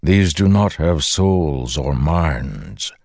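A man voicing an ancient, solemn male storm spirit in a fantasy audiobook, with a rumbling voice and slow, formal pronouncements. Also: expressive, a little mellow, dry recording, studio quality, heavily acted. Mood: solemn, dismissive.

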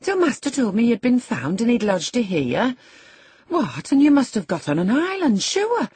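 A woman's voice: on the high side, very animated, moderate pace, a little mellow, slightly rough, no echo, pitched above the performer's own voice, exaggerated voice. A woman voicing a chatty, familiar country housekeeper who rambles and exclaims in rustic turns of phrase in an audiobook. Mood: surprised, gossiping.

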